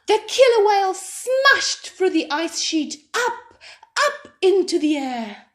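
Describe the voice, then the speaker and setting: Woman, children's story reader, lively intonation, dramatic delivery, slow measured pace. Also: very high-pitched. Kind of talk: expressive storybook narration.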